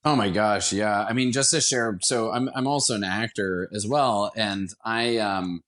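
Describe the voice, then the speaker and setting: A man speaking as a guest actor, explaining things casually like an animated storyteller. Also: lowish voice, measured pace. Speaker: guest, actor and theater producer.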